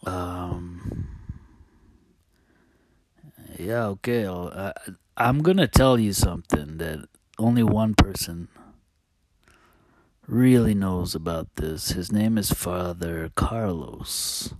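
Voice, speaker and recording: A man talking casually as a host, telling personal stories in a halting, hesitant, confiding way. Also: low voice, darkish tone, dry recording.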